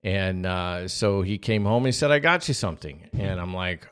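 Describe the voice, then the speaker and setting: Male podcast storyteller, middle-aged, lower register, conversational, acts out the quotes in his anecdotes. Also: normal speed, clean tone. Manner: animated retelling with acted-out dialogue.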